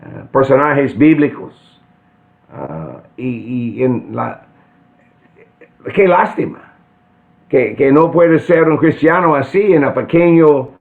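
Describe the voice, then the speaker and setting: An older man from central Kansas with an American accent, preaching an earnest sermon and pausing to restart phrases. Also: a little high, animated, vocal fry. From central Kansas, United States. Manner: deliberate, earnest, with frequent restarts. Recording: tinny phone-like sound.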